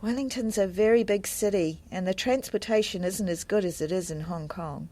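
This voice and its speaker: A woman acting a helpful, friendly adviser in a scripted listening-test dialogue, speaking in a measured, explanatory way. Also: mid-range voice, warm tone, lightly acted. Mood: helpful, calm.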